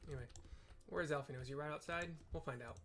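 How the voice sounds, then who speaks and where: Casual, slightly high-voiced man narrating gameplay. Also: rapid-fire, a bit bright. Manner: rambling, jokey asides. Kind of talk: casual playthrough chat with scene description.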